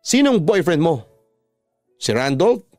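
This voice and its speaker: A man's voice: fairly high pitch, big pitch swings, takes it slowly, a little mellow, no room sound, faint music behind, voice pushed up. A Filipino man voicing a possessive, manipulative ex-boyfriend in a radio drama, insistent in his delivery. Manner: pleading turning insistent and threatening.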